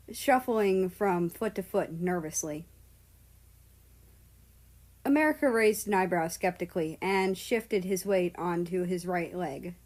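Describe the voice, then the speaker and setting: A woman's voice: lowish voice, a little mellow. A woman narrating a scripted story in a storybook style. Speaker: fan fiction narrator.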